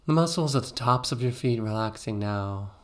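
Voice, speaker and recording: A man narrating a guided meditation in a soothing, gently instructive way with pauses between phrases. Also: medium pitch, lively intonation.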